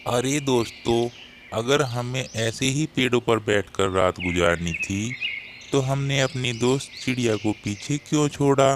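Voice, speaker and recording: A man's voice: medium pitch, unhurried, background noise, close to an everyday voice. A man voicing a regretful bird questioning his flock in a cartoon moral story.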